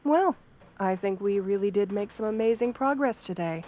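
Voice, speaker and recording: A woman playing a kind, professional wolf girl therapist in an ASMR roleplay, soft-spoken, gentle and measured in her reassurance. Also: mid-range voice, animated, lightly acted. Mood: caring, reassuring.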